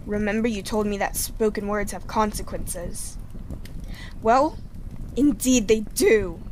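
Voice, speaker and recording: A woman as a slow-talking, scornful girl. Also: medium pitch, darkish tone, a little hiss or hum, close to an everyday voice, poor audio. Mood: vengeful. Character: scornful, vengeful young girl. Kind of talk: radio horror drama acting.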